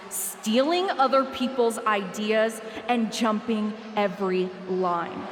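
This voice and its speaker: A woman plays a vengeful female wrestler who taunts and accuses at a slow, deliberate pace. Her voice sits in a roomy echo with a long tail, and steady noise runs underneath. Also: medium pitch, close to an everyday voice. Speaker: video game character, rival wrestler. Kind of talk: video game cutscene acting.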